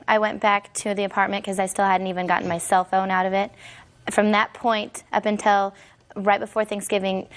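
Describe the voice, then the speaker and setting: Woman plaintiff, mid-range voice, tells long stories in court, apologetic. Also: medium pitch. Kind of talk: courtroom testimony. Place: TV courtroom set.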